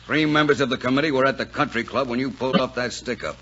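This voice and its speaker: Husky, bossy police chief. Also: male voice, mid-range voice, quick pace, fairly bright tone. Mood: suspicious, sardonic. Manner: barking, commanding, sardonic. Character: gruff, suspicious, bossy small-town police chief. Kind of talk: 1940s radio drama acting. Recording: dull vintage 1940s radio broadcast.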